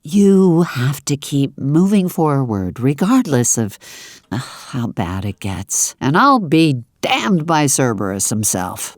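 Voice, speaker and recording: A woman acts as a loving, resolute pony mother in an audio drama. Her voice sits very low and moves unhurriedly, with wide expressive swings. She sounds deliberate, proud and motherly. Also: no echo, clean studio sound, heavily acted.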